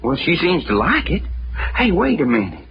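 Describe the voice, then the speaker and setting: An actor playing an excitable, blunt sidekick with a folksy, questioning manner in 1940s radio style. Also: male voice, on the high side, moderate pace. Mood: eager, puzzled. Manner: folksy, colloquial, eager questioning. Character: Doc, folksy, excitable, blunt male cowboy-type sidekick. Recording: noisy, muffled old-time radio recording.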